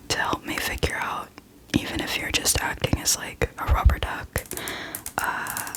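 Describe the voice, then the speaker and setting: A woman hosting a casual whispered ASMR book chat, speaking in a soft, breathy whisper with a hesitant, self-deprecating manner. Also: poor audio. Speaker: book video host.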